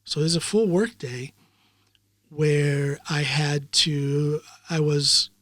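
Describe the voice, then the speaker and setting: Male podcaster, reflective and rambling, fairly high register, dry close mic. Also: takes it slowly, fairly bright tone, dry recording. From United States. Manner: rambling and reflective, many ums and uhs. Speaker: podcast host in the guest chair. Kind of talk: casual interview chat.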